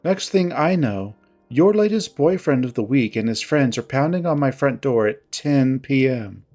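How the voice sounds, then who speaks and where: A man's voice: medium pitch, animated, warm tone, faint music behind, natural voice. Man voicing a curt, wounded young animal woman. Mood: bitter, indignant. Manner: curt, formal, deliberate, accusing.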